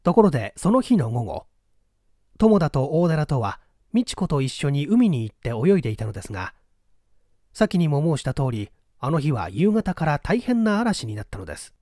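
A man narrating a mystery novel as an audiobook, in a measured storytelling manner. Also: a little high, sing-song, dark tone, no room sound, studio quality. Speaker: audiobook narrator, small-theatre stage actor. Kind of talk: scripted narration, mystery novel read aloud.

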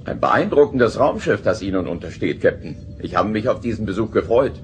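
A man's voice: fairly low pitch, very animated, fairly quick, faint music behind. A man playing a courteous, admiring father of the groom in a German radio play.

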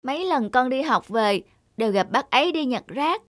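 A woman's voice: sits high, lively intonation, normal speed. A woman voicing a polite, earnest, sickly little schoolboy in a cartoon. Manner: polite, soft-hearted, earnest. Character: gentle, sickly young schoolboy, polite and compassionate. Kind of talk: cartoon dubbing. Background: background music.

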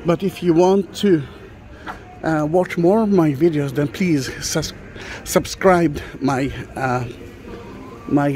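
A man's voice: a little high, darkish tone. A middle-aged man guiding a walking tour outdoors, talking hesitantly with many fillers and restarts. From Israel. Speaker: tour guide. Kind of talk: walking tour vlog, unscripted. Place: outdoor plaza of an old train station. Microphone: phone or camera carried while walking.

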